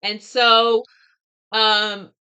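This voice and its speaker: An American woman in her thirties talking casually and reflectively to camera, with halting, deliberate phrasing. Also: fairly high pitch, flat delivery, sharp tone, clean tone, lo-fi sound. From United States. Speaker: vlogger discussing a book.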